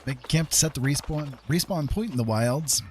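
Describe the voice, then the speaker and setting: A man's voice: a little high, moderate pace, a little mellow, slight background noise. A man giving casual live gameplay commentary, half talking to himself as he plays. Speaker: game streamer.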